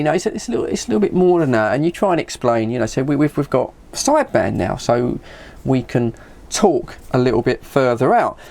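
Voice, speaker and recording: A man's voice: mid-range voice, creaky, darkish tone. A middle-aged man with a British accent chatting casually and telling anecdotes, acting out remembered conversations and often repeating his words as he goes. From United Kingdom. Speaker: radio hobbyist vlogger.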